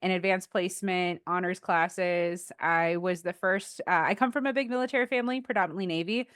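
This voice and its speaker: Smooth-voiced woman in her thirties. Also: fairly low pitch, fairly even intonation, fairly quick, a bit bright, dry recording, studio quality. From Philippines. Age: 30s. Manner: chatty, with frequent fillers and restarts. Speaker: guest, defense contractor and Air Force veteran. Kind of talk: casual interview conversation.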